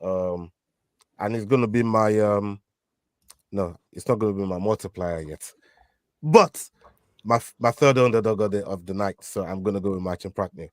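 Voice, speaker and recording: A man's voice: on the low side, moderate pace, no room sound, clean studio sound. A man hosting a casual fight prediction show, leading the picks with many fillers and restarts. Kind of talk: casual betting picks chat.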